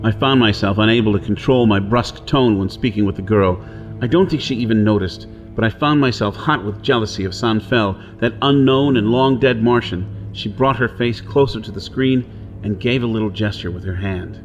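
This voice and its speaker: An actor playing a wary, brusque hunted outlaw in a pulp radio drama, speaking and narrating in a dramatic, brooding manner. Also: male voice, fairly low pitch, normal speed, dull audio, close to an everyday voice. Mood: brooding, reflective. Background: music.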